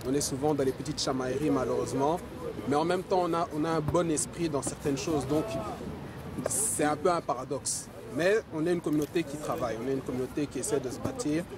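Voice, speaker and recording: A man with a somewhat high voice answers as an activist in a measured, articulate way, building lists of points. He is recorded outside amid very loud crowd and street sound. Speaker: community activist, interviewee. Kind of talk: reflective interview answer. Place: outdoors in a city park. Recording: outdoor, very noisy urban crowd.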